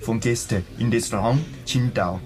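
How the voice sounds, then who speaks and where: An actor playing a polite, evasive Chinese restaurant host in a radio drama, with a Chinese accent and clipped broken German. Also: male voice, mid-range voice, steady tone, moderate pace, music in the background, natural voice. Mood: obliging. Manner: obliging, clipped broken German with dropped words.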